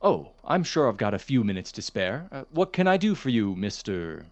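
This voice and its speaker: A stuffy polite college professor, kinda condescending, voice goes up and down a lot, audio drama lecture style. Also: male voice, lowish voice, very animated, lo-fi sound. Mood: courteous. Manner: formal, measured, polite, gently condescending. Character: courteous, dismissive male chemistry professor, formal and patronizing. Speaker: substitute lecturer.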